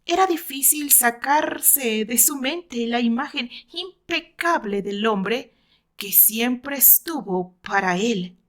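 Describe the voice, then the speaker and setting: A woman storyteller with a fairly high voice and a Mexican accent. She narrates scripted fiction in an expressive, theatrical way. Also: slow pace.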